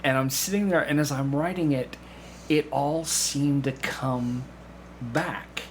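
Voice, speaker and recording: A man chats casually as a guest, telling stories in a halting way and repeating himself as he goes. His voice is mid-pitched, with some noise in the background. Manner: halting storytelling with repeated phrases and acted-out asides. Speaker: podcast guest, amateur actor. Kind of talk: casual anecdotal conversation. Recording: clearly audible background room noise.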